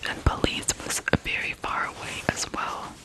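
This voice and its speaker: An ASMR host from Ukraine narrating true crime in a hushed, deliberate way, pausing between short phrases.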